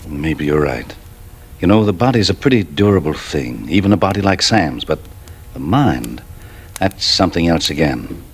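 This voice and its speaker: An actor playing a calm, kindly family doctor in an old radio drama, slow and soothing. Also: male voice, sits low, husky, heavily acted. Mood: grave, reluctant. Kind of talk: 1950s radio drama acting.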